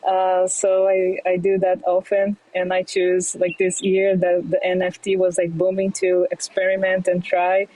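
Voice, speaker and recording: A young Brazilian woman speaks accented English in a low, level voice, her tone dark and mellow. She pauses often on fillers and slips in small laughs as she explains her work. Portuguese is her first language. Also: completely flat delivery, no room sound, poor audio. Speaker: fintech CEO guest. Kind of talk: podcast interview. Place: remote, not in studio.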